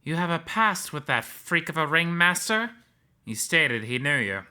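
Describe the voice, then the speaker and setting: A man's voice: a little high, very animated, unhurried, pushed and bright, smooth, pitched above the performer's own voice, exaggerated voice. A man voicing a snarky, incredulous male hyena in a visual novel, with a mocking manner. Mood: curious, prying. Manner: mocking, sarcastic, exclamatory.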